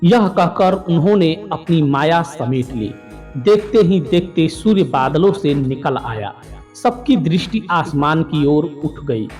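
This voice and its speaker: Male narrator, slightly high voice, measured formal storytelling over faint music. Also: darkish tone. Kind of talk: scripted mythological narration.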